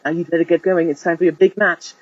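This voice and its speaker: A reader voicing a kind, calm mother in a soft, soothing voice. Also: medium pitch, fast, lo-fi sound, lightly acted. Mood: gentle.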